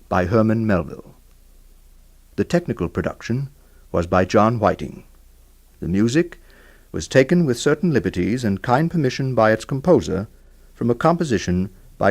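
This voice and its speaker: A man with a fairly low voice hosts a radio drama programme. He reads scripted credits in a formal, measured way, like an announcer. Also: darkish tone.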